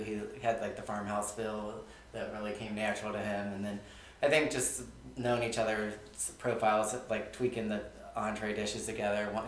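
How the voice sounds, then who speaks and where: A man, a restaurant co-owner, answering interview questions in a relaxed, rambling way full of "like". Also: lowish voice, slight background noise. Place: small studio on a couch. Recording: echoey room.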